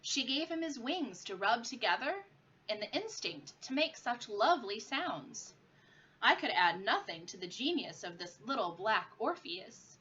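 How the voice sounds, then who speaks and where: Storybook role: fussy, timid gentleman music teacher played by a woman, effusive and grand, raised pitch, lively swoops. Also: measured pace, dull audio, full character voice. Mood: admiring, lofty. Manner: precise, flowery, exclamatory diction.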